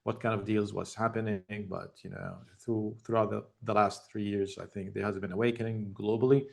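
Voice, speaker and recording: Tech founder guest from Jordan, middle-aged man, gravelly and lowish, talking over a video call, measured and reflective. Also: little pitch movement, a little mellow. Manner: measured, reflective, with frequent ums.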